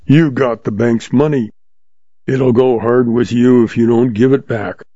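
A man's voice: medium pitch, fairly even intonation, a little slow, warm tone, no room sound, lo-fi sound. A man voicing a greedy, fat male banker who snarls and sneers. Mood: snarling.

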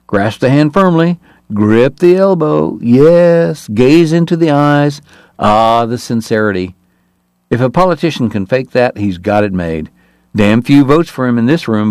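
A man's voice: medium pitch, expressive, unhurried, a little mellow, no echo. A man voicing a sardonic, teasing man-about-town who gossips about the people in the room.

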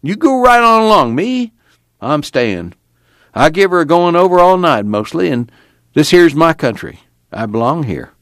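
Man acting out cantankerous old grandfather, raised pitch, folksy rural dialect, blunt, defiant, audiobook character, dry sound. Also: very animated, normal speed, fairly bright tone, no room sound. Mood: stubborn, defiant. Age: older.

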